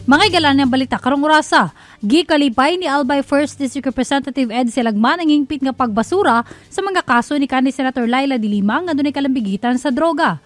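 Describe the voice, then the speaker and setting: A woman's voice: high-pitched, fast talker, dry recording. A woman with a Filipino accent reading a scripted radio news bulletin as the anchor.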